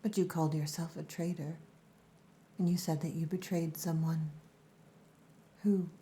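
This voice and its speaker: Orc wife for ASMR roleplay, played by a woman: low, mellow, breathy near-whisper, strict and possessive caretaker. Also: fairly even intonation, measured pace. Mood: probing, somber.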